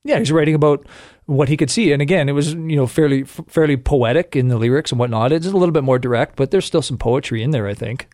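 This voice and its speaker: A man's voice: on the high side, fast talker, darkish tone, dry recording, studio quality. A Canadian man co-hosting a casual music podcast, sharing trivia and laughing often. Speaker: podcast co-host.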